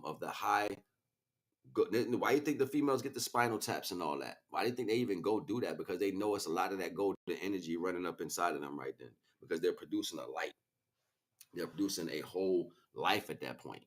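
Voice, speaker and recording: Deep-voiced man giving an informal spiritual talk. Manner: emphatic, repetitive phrasing, checks in with listeners. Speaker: livestream host, spiritual teacher. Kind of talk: informal spiritual lecture, unscripted.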